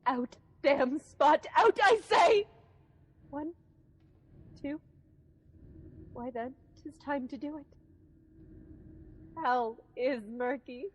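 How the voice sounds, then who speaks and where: A woman's voice: high voice, expressive, takes it slowly, pauses often, a bit bright, heavily acted, rough recording. A woman playing a guilt-racked, imperious sleepwalking noblewoman in a Shakespearean radio drama, muttering in fragments with sudden outbursts. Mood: haunted, agitated.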